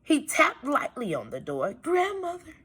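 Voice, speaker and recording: A woman reading a storybook aloud to young children as a teacher, pausing to explain things in simple phrases. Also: medium pitch, big pitch swings, fairly bright tone, husky. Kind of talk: expressive storybook read-aloud with asides.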